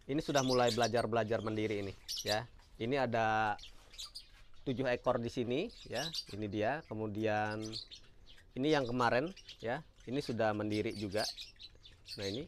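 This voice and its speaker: A man narrating a casual vlog as a bird breeder, talking to his viewers. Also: lowish voice. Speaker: lovebird breeder and vlogger. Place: outdoors among backyard bird cages. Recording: bird chirps, clicks, outdoor noise. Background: loud birdsong and chirping.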